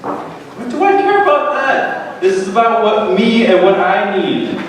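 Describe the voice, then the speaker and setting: A man's voice: high voice, sing-song, measured pace. A man playing a brash, selfish young prodigal son in a dramatic reading of a parable, theatrical and emphatic. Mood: alarmed, petulant. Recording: roomy echoing hall, distant microphone.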